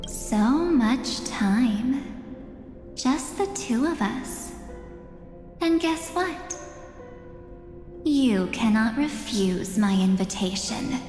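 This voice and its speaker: An actress playing a menacing, seductive keeper of memories in a video game, grandiose and deliberate, with breathy phrase endings. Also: female voice, on the high side, lively intonation, a little mellow. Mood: seductive, commanding. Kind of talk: theatrical video game voice acting. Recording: echoey long reverb under game music.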